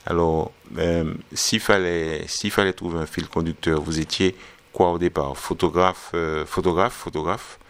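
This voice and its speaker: Interviewer guy with a super deep gravelly voice, slow leading questions. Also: steady tone.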